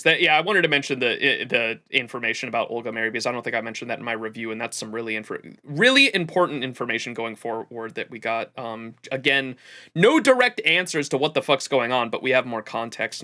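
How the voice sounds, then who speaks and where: A man hosting a livestream, giving casual, rambling, animated gaming commentary with plenty of swearing. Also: medium pitch, fairly quick. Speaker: livestream host.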